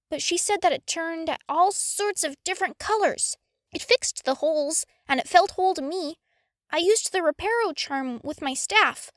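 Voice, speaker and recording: A woman's voice: sits high, fairly bright tone, no echo, clean studio sound. A woman voicing a polite, eager, evasive young boy wizard in a storybook reading.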